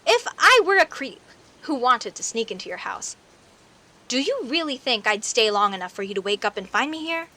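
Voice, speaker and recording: A woman's voice: fairly high pitch, sing-song, sharp tone. A woman playing a friendly, teasing werecat neighbor in an audio roleplay, talking conversationally and straight to the listener. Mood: indignant, defensive. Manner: teasing, indignant, conversational with sighs and pauses. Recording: faint hiss and rain noise. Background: rain ambience.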